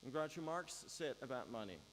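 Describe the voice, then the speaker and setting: A man with a Danish accent gives a prepared graduation speech, measured and with dry humor. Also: medium pitch, lively intonation. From Denmark. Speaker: graduation speaker. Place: large ceremony hall. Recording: reverberant hall with faint mains hum.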